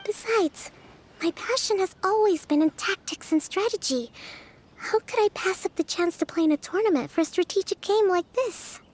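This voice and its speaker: A woman voicing a gentle, thoughtful young leader in a video game, speaking politely and earnestly in measured phrases, with music behind her. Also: extremely high pitch. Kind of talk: video game dialogue acting.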